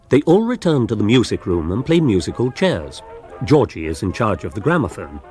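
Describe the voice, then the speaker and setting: Lowish animated voice, urbane narrator, wry, measured, comic novel, faint music. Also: male voice. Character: urbane, wry storytelling author.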